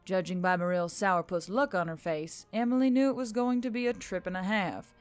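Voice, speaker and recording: A woman's voice: mid-range voice, fairly quick, soft background music. A woman reading a scripted story as a narrator. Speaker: audiobook-style narrator.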